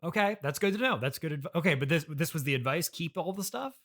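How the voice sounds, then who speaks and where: A man's voice: a little high, expressive, fast, fairly bright tone. A man hosting a casual comedy interview, polite, hesitant and mildly bemused. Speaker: podcast host, interviewer.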